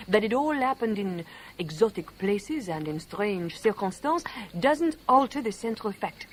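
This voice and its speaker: A blunt, caring wife in a vintage radio play. She is forthright and emphatic, her pitch rising and falling widely, with faint hiss on the recording. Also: low-quality recording. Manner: forthright, emphatic, measured phrasing. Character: blunt, affectionate, scolding wife and old friend. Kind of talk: 1970s British radio drama acting.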